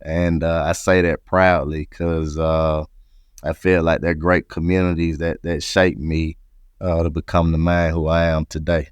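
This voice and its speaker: A man's voice: rumbling low, flat delivery, unhurried, clean tone, no echo. A man from Atlanta, Georgia, speaking as an interview guest and reflectively telling his own story. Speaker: interview guest, company president.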